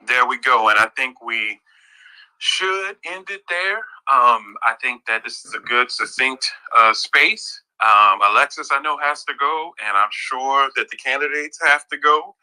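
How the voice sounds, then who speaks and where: Male moderator, bright voice, halting casual wrap-up. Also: mid-range voice, clean tone, rough recording. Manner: hesitant, full of fillers. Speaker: discussion room moderator.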